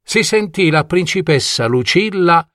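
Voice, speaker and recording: A man's voice: sits high, expressive, measured pace, fairly bright tone, no echo, studio quality. A man narrating a scripted fairy tale for children as a storyteller.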